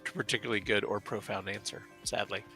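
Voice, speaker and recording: A man from Dallas speaking as a guest in a relaxed interview, halting and restarting his sentences. His voice is low yet bright and forceful. Faint music plays underneath. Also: rough recording. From Dallas, Texas. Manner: hesitant, many fillers and restarts. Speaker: guest, business owner. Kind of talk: casual interview chat.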